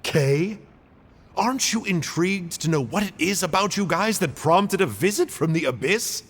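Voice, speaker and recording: A man's voice: high voice, animated, moderate pace, fairly bright tone, breathy edge, long reverb tail, full character voice. A man voicing a cheerful, cheeky male agent of a villainous order, mock-polite and teasing.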